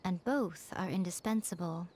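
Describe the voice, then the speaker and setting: A woman playing a sly, wise shrine keeper in a video game, with a playful, unhurried, knowing manner. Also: fairly low pitch, a little mellow, a little hiss or hum, natural voice. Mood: gentle, knowing. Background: music.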